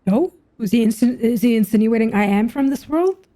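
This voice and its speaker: Young female gameplay commentator, informal and slangy, higher pitch with a very mellow tone. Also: animated, fairly quick. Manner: informal, thinks aloud, slangy. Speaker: gamer reacting to a story quest. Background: soft game music.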